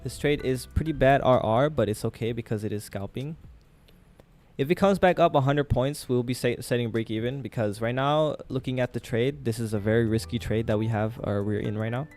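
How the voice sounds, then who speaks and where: A man's voice: medium pitch, fairly quick, darkish tone, faint music behind. A young man, raised in Thailand, hosting a casual live trading stream and talking to his chat with lots of fillers. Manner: chatty, talks to chat with many fillers.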